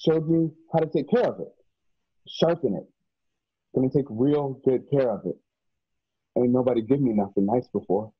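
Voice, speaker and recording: An actor playing an earnest, excitable young man with folksy rural speech in a radio play. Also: medium pitch, normal speed, pauses often, slightly rough, close to an everyday voice. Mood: quietly proud. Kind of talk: remote radio play acting. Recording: dull lo-fi home microphone.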